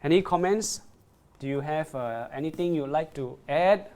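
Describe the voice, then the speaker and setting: Halting man presenting live, pitched a bit high. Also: darkish tone. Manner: halting, repeats words, checks in with "right?". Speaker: product presenter on a livestream. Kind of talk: live product demo, reading viewer comments.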